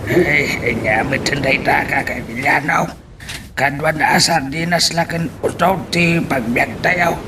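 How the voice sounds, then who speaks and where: Male actor as anxious farm worker, Filipino accent, slightly high pitch, radio drama, noisy background. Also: a little slow, lightly acted. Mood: worried, agitated. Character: worried village farm worker.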